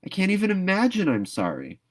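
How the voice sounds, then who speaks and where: Storybook young girl, played by a man: proud, stubborn, dramatic delivery, pitched up from his own voice. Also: huge pitch swings, brisk, full character voice. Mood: mournful, stubborn. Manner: dramatic, emphatic. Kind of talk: storybook read-aloud character voice.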